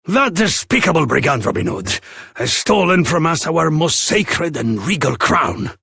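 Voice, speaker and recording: An exuberant, self-important king from a fantasy game. His high voice swoops theatrically across a wide range, slow and grand, with a gravelly rasp. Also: sing-song, pushed and bright, no room sound, clean studio sound, heavily acted. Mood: courtly, earnest. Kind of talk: fantasy video game voice acting.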